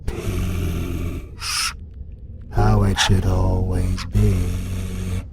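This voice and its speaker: A man voicing an ancient, menacing world-devouring demon in an audio roleplay, British, with a slow, brooding, incantation-like delivery. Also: level tone, creaky, exaggerated voice. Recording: loud rumbling noise behind.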